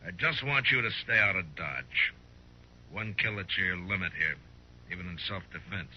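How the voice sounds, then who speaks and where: An actor playing a firm, wry American frontier lawman in a 1950s radio western, measured and authoritative. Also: sits low, expressive. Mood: firm, grave. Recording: dull, faintly noisy vintage radio.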